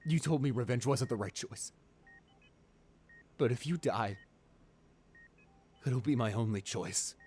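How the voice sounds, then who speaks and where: A man acts out a ruthless man undone by love in a romantic audio roleplay. He speaks intimately and haltingly at a bedside, full of raw emotion and devotion. His pitch sits in a middle range. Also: darkish tone, breathy edge. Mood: grim, vengeful.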